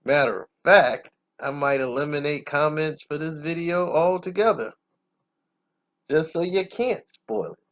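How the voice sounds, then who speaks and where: A man reviewing a film casually in a self-assured, emphatic way, breaking his phrases up with dramatic pauses. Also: on the high side, a bit bright, lo-fi sound. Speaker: movie reviewer.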